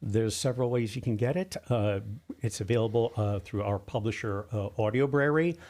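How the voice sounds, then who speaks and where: A man with a fairly low voice is a guest on a studio radio interview. He talks conversationally as a writer and producer, informative and agreeable. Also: moderate pace, a little mellow.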